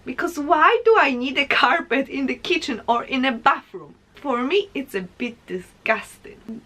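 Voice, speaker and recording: A woman's voice: high voice, lively intonation, a little slow, pushed and bright. A young woman from Poland with a Polish accent, talking casually and animatedly to viewers as a vlogger. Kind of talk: casual vlog monologue to camera.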